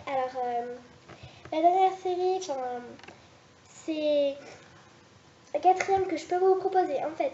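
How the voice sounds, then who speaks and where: A high-voiced woman with a soft, mellow tone rambles through her story pitches, pausing and restarting often. The sound is roomy and a little dull, with a faint hiss underneath. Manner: hesitant, rambling, many restarts. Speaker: web series creator. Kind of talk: casual vlog pitching story ideas.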